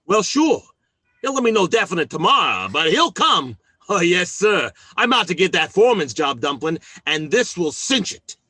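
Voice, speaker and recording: An actor playing a scheming, self-important working-class husband in an old-time radio sitcom, with blustery, folksy speech. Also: male voice, high voice, very animated, moderate pace, sharp tone, slightly rough, no echo, full character voice.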